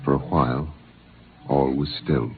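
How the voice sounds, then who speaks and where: An actor narrating a science fiction radio drama as a solemn storyteller, in dramatic 1950s style. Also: male voice, a bass voice, steady tone, measured pace, a little hiss or hum. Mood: solemn, suspenseful. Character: solemn storyteller of a Mars expedition. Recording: narrow old radio recording.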